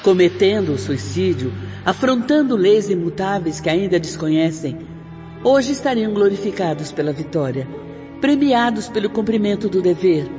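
A woman's voice: mid-range voice, sing-song, normal speed, mellow, lightly acted. An actress playing an earnest female spirit teacher in a radio drama, with formal, preaching diction. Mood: grave, admonishing. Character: Sister Doris, earnest female spirit teacher of moral re-education. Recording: dull reverberant recording with music.

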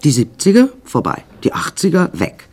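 An actress playing an older, sardonic and imperious confined woman who narrates her macabre life in a wry, drawn-out, confiding way in a radio drama. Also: deep voice, wildly swooping pitch, darkish tone, slightly rough, exaggerated voice. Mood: wry recounting.

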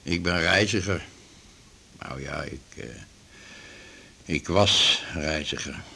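A man's voice: low-pitched, slow, gravelly, sharp tone, husky, exaggerated voice. An actor playing an aggrieved, garrulous retired glue salesman in his fifties in a radio drama, rambling and grumbling. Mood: rueful. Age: 50s. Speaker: patient in a doctor's office. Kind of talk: naturalistic radio drama acting.

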